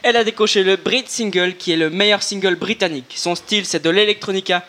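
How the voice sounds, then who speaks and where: A man's voice: sits high, fast talker, pushed and bright. A man presenting a small radio show, announcing songs in an upbeat way. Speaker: student radio host.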